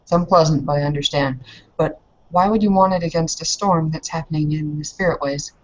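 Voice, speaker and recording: A woman's voice: sits low, a little fast, a little mellow, highs cut off. A woman improvising in character in a roleplaying game, hesitant and questioning. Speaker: player in an online roleplaying session.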